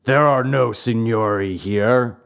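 A man voicing a huge, bearded, gruff but soft-hearted puppet master in a commanding, theatrical storybook style. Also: medium pitch, slow. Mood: stern.

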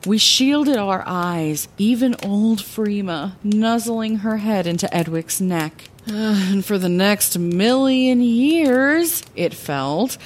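Actress as wry lighthouse keeper narrator, slow-paced, expressive fantasy audio-drama storytelling. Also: female voice, mid-range voice. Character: wry lighthouse keeper retelling her adventurous past. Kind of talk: scripted first-person fantasy storytelling.

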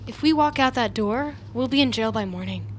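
Actress as defensive, unstable woman, highish voice. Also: expressive, a little fast, slight background noise, close to an everyday voice. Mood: contrite, tense. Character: unstable, defensive, cold-blooded woman with violent streak. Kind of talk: audio fiction drama acting. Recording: music clearly underneath.

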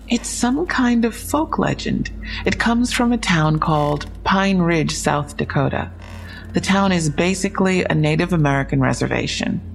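An actress playing a frank, inquisitive police investigator in an audio drama, matter-of-fact and wry, with a husky, creaky voice. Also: female voice, on the low side. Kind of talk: full-cast audio drama dialogue.

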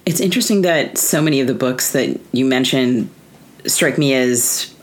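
A woman's voice: rumbling low, expressive, moderate pace. A woman speaks as the co-host of a literary podcast. Her delivery is that of a conversational interviewer, engaged in discussing books and presenting authors.

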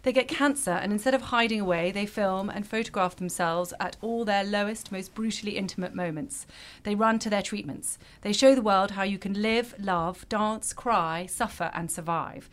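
A woman's voice: mid-range voice, normal speed. Chatty self-deprecating woman co-host. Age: middle-aged. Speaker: podcast co-host. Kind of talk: casual chat.

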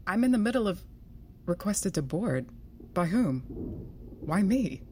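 A woman's voice: medium pitch, big pitch swings, a little slow, darkish tone, slight background noise. A woman playing a terse, authoritative, suspicious federal agent in an audio drama. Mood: terse, businesslike. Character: hard-nosed, suspicious female federal agent.

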